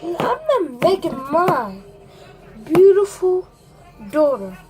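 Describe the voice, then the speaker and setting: A young girl with a very high, childlike voice puts on a puppet show. She speaks slowly in dramatic, whiny swings from low to high. Music plays over the home recording. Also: sing-song. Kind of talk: improvised puppet show play-acting.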